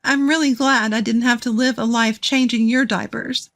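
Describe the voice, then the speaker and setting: A woman's voice: fairly high pitch, steady tone, moderate pace, fairly bright tone. A woman voicing a middle-aged divorced woman in an audio story, scornful, blunt and self-assured. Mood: scornful, triumphant. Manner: indignant, cutting, firm.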